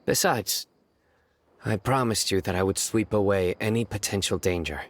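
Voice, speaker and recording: A man playing a grave, deep-voiced enforcer. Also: close to an everyday voice. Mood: resolute. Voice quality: measured and resolute. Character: grave young man, stern enforcer of justice. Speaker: stern desert lawman. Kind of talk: game dialogue acting.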